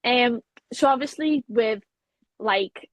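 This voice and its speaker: A woman's voice: a little high, slow pace, no echo. A young woman from England with a Liverpool accent, answering interview questions in a chatty way with frequent filler phrases. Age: young adult. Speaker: wrestler, guest. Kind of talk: casual interview.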